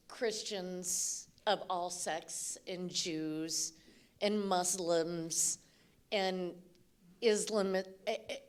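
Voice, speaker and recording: A woman speaking informally and candidly as an audience member, putting an unscripted question to a panel with emphasis. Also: mid-range voice. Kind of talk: spontaneous spoken question to a panel.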